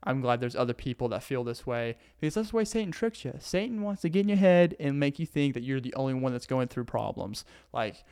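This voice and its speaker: A man's voice: mid-range voice, animated, a little fast, few pauses, a little mellow, clean tone, no echo, clean studio sound. A young man from Arkansas with a Southern accent, hosting a casual solo podcast in a rambling, earnest way and laughing often, while sitting on the floor indoors. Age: young adult. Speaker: podcast host.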